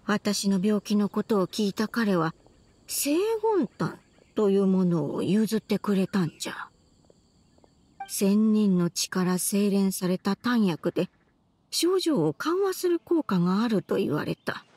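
A woman voicing a frail, gentle old grandmother in a video game, with apologetic, old-fashioned elderly phrasing. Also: mid-range voice, animated, a little slow, husky, no echo. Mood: wistful recollection. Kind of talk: video game dub acting.

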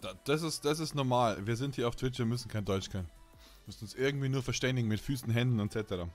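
A man's voice: mid-range voice, fast talker, faint music behind. A man chatting casually on a gaming live stream, teasing and bantering playfully in crude, slangy language. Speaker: game streamer.

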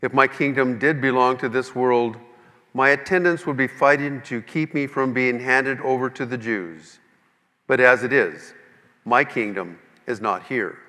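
A man playing a calm, resolute prisoner on trial in a dramatic scripture reading, measured and declarative. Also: mid-range voice. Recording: long echoing hall reverb.

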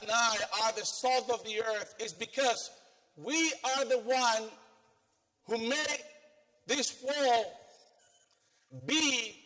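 A middle-aged man from the Philippines with a Filipino accent, preaching an animated sermon to a congregation and questioning them as he walks the stage. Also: very high voice, takes it slowly, pauses often. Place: church sanctuary, indoors, with congregation. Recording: long church reverb.

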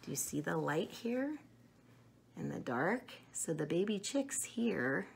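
A middle-aged woman chatting to young children in an animated, simple way in a room at home. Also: fairly low pitch, takes it slowly, creaky, a little hiss or hum. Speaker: storyteller showing children books and eggs. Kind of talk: casual chat to children.

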